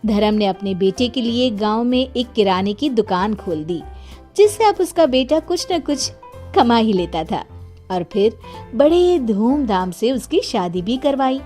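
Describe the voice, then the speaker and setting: Expressive, unhurried woman storyteller over music. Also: fairly high pitch. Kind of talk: scripted children's story narration.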